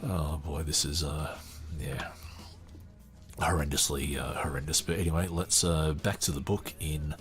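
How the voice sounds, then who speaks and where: A man hosting a podcast, giving casual off-the-cuff commentary in a halting way, often muttering asides to himself. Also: very deep voice, steady tone, soft background music. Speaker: podcast host.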